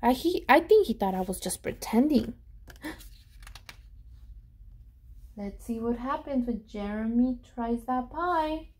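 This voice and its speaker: A woman reading a storybook aloud to children in an expressive, animated way, pausing to put questions to them. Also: fairly high pitch. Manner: animated, with dramatic gasps and emphasis.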